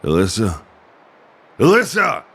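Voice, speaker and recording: An actor playing a gruff, grumpy old mercenary mentor in an audio drama, teasing and gravelly, with a fatherly streak. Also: on the low side, wildly swooping pitch, measured pace, a bit bright, heavily acted. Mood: pained. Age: older.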